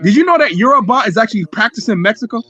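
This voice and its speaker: A man's voice: high-pitched, lively intonation, very fast, sharp tone, lo-fi sound. A man hosting a livestream, arguing heatedly and repeating himself, sometimes swearing. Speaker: livestream host. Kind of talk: heated casual debate.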